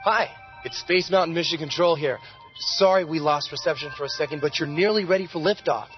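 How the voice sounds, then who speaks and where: A man plays a friendly mission control operator, slightly harried, with a fairly high voice. He makes an in-character announcement over faint music. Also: a little fast, lightly acted. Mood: apologetic, helpful. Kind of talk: in-character announcement to waiting passengers. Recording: slightly dull, music behind.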